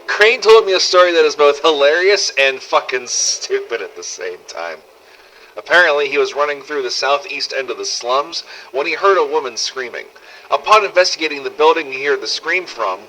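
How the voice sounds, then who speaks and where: A man's voice: on the high side, sharp tone, a little hiss or hum, rough recording. A man playing a wry, weary apocalypse survivor who narrates an audio diary in an exasperated, sweary way. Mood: amused, scornful. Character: sardonic, weary male survivor runner in a zombie apocalypse. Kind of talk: in-character audio diary narration, fan-made game audio logs.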